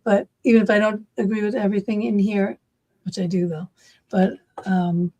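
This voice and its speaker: A woman's voice: medium pitch, little pitch movement, normal speed, warm tone. A middle-aged woman from Massachusetts with a Massachusetts accent, chatting casually and animatedly, rambling with many fillers. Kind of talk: casual chat.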